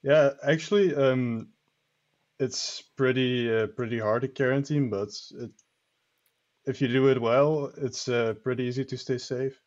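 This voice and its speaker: A man from Belgium calls into a livestream, speaking as an at a medium pitch. His phrasing halts a little as he chats, and the call audio sounds dull and lo-fi. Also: fairly even intonation, a little slow, darkish tone. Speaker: guest caller on a livestream. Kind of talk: casual chat. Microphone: online call.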